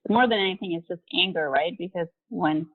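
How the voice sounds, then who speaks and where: A woman's voice: on the low side, brisk. Tinny-sounding woman on a video call. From Mexico. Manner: candid, conversational, with fillers. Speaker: guest, company vice president. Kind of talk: casual interview over video call.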